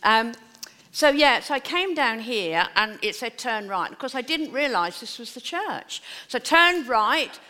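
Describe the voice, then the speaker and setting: Woman preacher, bright and slightly high voice, chatty sermon, church reverb. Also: lively intonation, a little slow. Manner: chatty, self-deprecating storytelling before preaching. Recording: long echo of a church hall.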